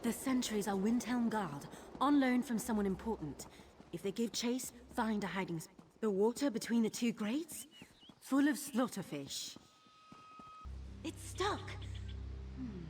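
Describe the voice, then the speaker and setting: A woman's voice: a little high, expressive, measured pace, darkish tone, a little hiss or hum. A woman playing an eager, nervy young female thief in a video game, chatty and conspiratorial. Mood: excited, curious. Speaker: game character, thief companion.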